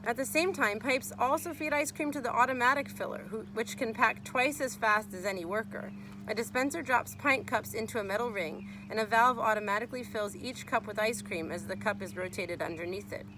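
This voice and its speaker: A woman's voice: on the high side, brisk, noisy background. A woman reading a children's nonfiction book aloud as a teacher would, explaining and speaking to young listeners.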